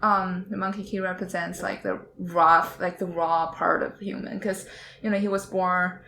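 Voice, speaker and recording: Female panelist, lowish pitch, hesitant conversational delivery, roomy echo. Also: flat delivery, normal speed, creaky, sharp tone. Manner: hesitant, searching for words. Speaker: podcast panelist. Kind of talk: casual discussion. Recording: echoey room with faint noise.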